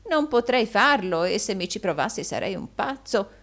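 A woman voicing a sly old male moneylender in an audiobook, gushing flattery in repeated exclamations. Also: mid-range voice, moderate pace, voice pushed up. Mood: whining, servile. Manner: gushing, repetitive, exclaiming with groans and chuckles.

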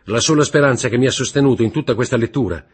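A man's voice: on the low side, expressive, normal speed, husky. A man voicing a desperate young nobleman who pleads and cries out to learn who killed his father. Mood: anguished. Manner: pleading, rising to anguished cries.

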